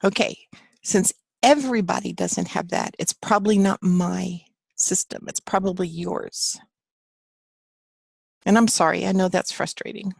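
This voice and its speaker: A woman's voice: fairly low pitch, a little slow, vocal fry, slightly rough, no echo, clean studio sound. A woman teaching a live online class from her home office, chatting with students and reading their answers aloud in an encouraging way. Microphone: computer mic on a video call.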